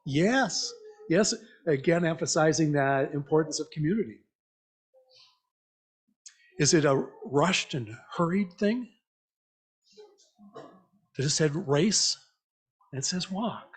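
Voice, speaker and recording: A man's voice: fairly high pitch, lively intonation, takes it slowly, long pauses, breathy edge. A man preaching an interactive church message in a conversational, reflective way, putting questions to the congregation. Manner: conversational, reflective, repeats listeners' answers back. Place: church meeting, speaking to a congregation.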